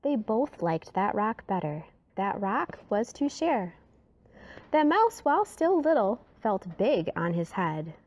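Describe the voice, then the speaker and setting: A woman reading a rhyming children's story aloud in an expressive, dramatic way, sometimes dropping to a hush. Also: medium pitch, slow.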